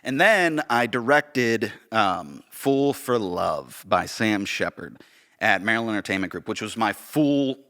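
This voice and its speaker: A man's voice: lowish voice, animated, a little slow, a bit bright. A man chatting casually and enthusiastically on a podcast as a theater director and actor, with emphatic delivery.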